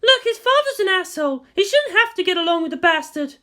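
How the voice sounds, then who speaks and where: Guy doing a grown woman guardian, high and bright, blunt and worried for her ward, storybook reading. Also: big pitch swings, brisk, full character voice. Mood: concerned.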